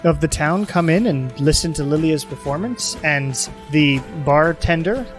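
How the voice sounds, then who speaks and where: A man's voice: fairly high pitch, measured pace. A man running a tabletop game as game master, narrating loosely with many fillers. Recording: faint background music.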